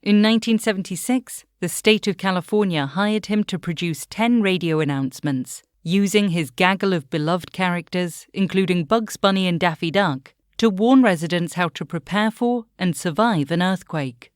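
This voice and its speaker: A woman's voice: lowish voice, normal speed, hardly any pauses, no echo, studio quality. A woman narrating a scripted documentary as the host.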